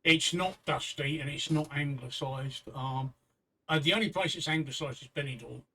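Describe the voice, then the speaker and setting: A man's voice: a little high, normal speed, sharp tone, slight background noise. A man in his sixties chatting casually as co-host of a livestream talk show, rambling and joking, repeating himself often. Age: 60s. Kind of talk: casual chat. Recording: voice somewhat far from the mic.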